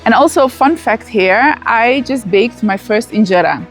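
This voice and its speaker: A woman in her thirties, raised in the Netherlands, narrating a casual travel vlog outdoors at an event venue, chatty and excited, with fillers and restarts. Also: on the high side, unhurried, faint music behind, rough recording. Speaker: vlogger.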